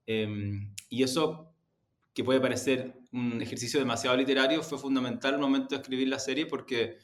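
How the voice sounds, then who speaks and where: A man giving reflective interview answers as a guest, deliberate and halting, often correcting himself. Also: mid-range voice. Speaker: interview guest, media executive. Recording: roomy echo on the voice.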